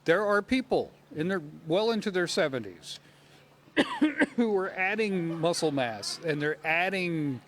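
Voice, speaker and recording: A man's voice: high-pitched, animated, measured pace, fairly bright tone. A man in his fifties doing live, playful on-location interviews as a morning TV field reporter, talking informally. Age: 50s. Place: gym, on location. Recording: clearly noisy live location sound.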